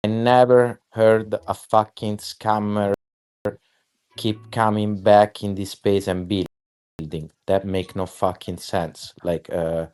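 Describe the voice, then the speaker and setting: A man with a lowish, smooth, clear voice talks slowly in a casual online chat. He rambles, swears freely, and fills gaps with "like" and "uh". He often restarts his sentences. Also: level tone, a little mellow. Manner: rambling, profane, many fillers and restarts. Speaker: guest artist.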